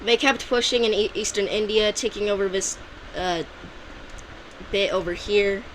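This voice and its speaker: A woman's voice: medium pitch, normal speed, a bit bright, slight background noise, rough recording. A woman narrating an alternate-history map video in a loose, unscripted way, often restarting and correcting herself mid-sentence. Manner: loose and rambling, with frequent restarts and self-corrections. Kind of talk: casual unscripted map commentary.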